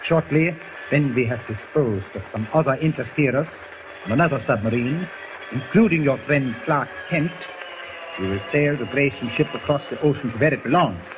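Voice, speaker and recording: An actor playing a menacing, coldly polite German doctor villain in a formal, threatening manner in a 1940s radio drama. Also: male voice, medium pitch, natural voice. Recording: muffled, noisy old 1940s radio recording.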